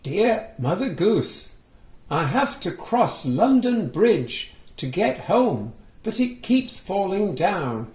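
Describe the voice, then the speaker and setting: A man plays a polite, worried fairy-tale lady asking for help. The delivery is plaintive and slow, lifted in pitch with lots of rise and fall, in a roomy space. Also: big pitch swings, a little mellow, full character voice. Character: worried storybook princess, a polite fair lady seeking help.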